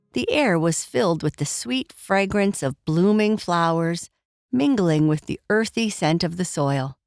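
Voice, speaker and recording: A woman's voice: lowish voice, clean tone, dry recording. A woman storyteller narrating a scripted bedtime story for children.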